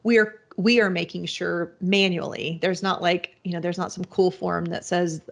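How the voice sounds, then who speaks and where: A woman's voice: on the low side, steady tone, moderate pace, creaky. A woman guest on a podcast, explaining her business in a rambling, casual way with many restarts and fillers. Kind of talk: casual interview answers.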